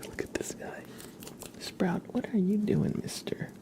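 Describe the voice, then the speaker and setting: A man hosting an ASMR livestream in a breathy whisper, speaking in a gentle, unhurried, soothing way. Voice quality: breathy, whispered, low creaky voice. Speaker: ASMR live streamer, host.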